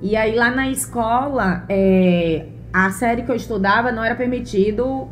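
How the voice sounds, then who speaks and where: Woman guest in her thirties, slightly high voice, earnest and reflective, soft music underneath. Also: moderate pace. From Bahia, Brazil. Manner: thoughtful, many fillers and restarts, earnest. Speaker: interview guest, mother and former gymnast. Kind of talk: relaxed interview conversation.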